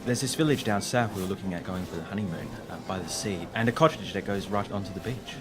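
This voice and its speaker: A man's voice: low voice, fast talker, over music, lightly acted. A man playing an earnest, polite fiancé in a fantasy audio drama. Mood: chatty.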